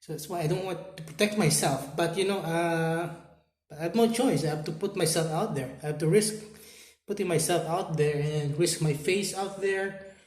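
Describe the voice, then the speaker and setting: A man with a Filipino accent talks straight to camera in a slightly high voice. He halts, restarts and repeats words, filling gaps with "uh" and "you know". The room around him has a noticeable echo. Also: a little mellow. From Philippines. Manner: halting, repeats words, many fillers. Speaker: YouTuber. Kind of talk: unscripted talk to camera.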